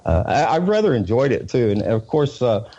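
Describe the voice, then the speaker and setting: A man with a Southern US accent, a reporter being interviewed, talking in a halting way with many fillers and repeated words. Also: mid-range voice, very animated, mellow, muffled recording. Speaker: independent news reporter, guest. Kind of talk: casual interview talk.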